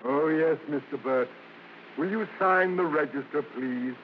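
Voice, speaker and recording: Slow, solemn hotel clerk, played by a man. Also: a little high, lightly acted. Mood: solemn, polite. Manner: formal, deferential, deadpan. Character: stiff, solemn, very polite hotel desk clerk. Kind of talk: 1950s radio drama acting. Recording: dull vintage radio recording, faint noise.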